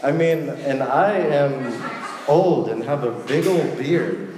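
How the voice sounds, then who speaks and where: A young man preaching to a youth group in a casual, joking way, with lively asides. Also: mid-range voice, slow, crowd noise. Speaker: youth pastor. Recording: echoey hall with faint ambience.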